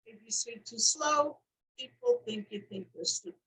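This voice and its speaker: A woman counselor on a talk show, explaining points in measured, listed steps. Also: sits high, big pitch swings, gravelly, pushed and bright, rough recording. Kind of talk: teaching talk show discussion.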